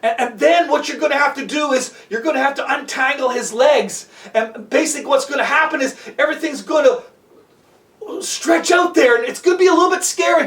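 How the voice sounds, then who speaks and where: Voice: sits high, brisk, a bit bright, far from the mic. A storyteller voicing a climbing leader who calls out firm instructions in a raised voice. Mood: urgent instructing. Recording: echoey room, off the microphone.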